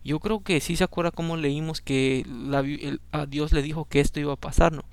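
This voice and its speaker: A man with a slightly raised pitch and a gravelly edge under an otherwise smooth, resonant voice. His first language is Spanish. He reads scripture aloud and breaks off into chatty personal commentary, often tagging a questioning "no?" onto his sentences. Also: steady tone, brisk, dry recording. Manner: conversational, keeps adding a questioning "no?"; frequent fillers and restarts. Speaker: Bible reading podcast host.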